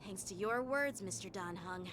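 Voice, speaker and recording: A woman's voice: mid-range voice, moderate pace, noisy background, lightly acted. A woman voicing an earnest, proud young female retainer in a video game, speaking formally, with music behind her. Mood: dismayed. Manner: formal, earnest, self-reproaching. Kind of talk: scripted video game acting.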